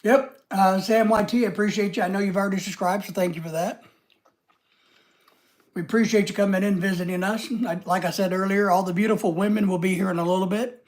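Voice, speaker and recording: A man's voice: high voice, steady tone, fast talker. A man hosting a casual live stream with a head cold, rambling and reading out viewer comments.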